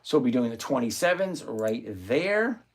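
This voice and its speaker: A man hosting a live stream, talking through his options trades out loud and answering viewers by name in a chatty way from a home desk full of screens. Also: medium pitch, big pitch swings, moderate pace. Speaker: livestream host and options trader. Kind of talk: live-stream trading commentary, reading chat aloud.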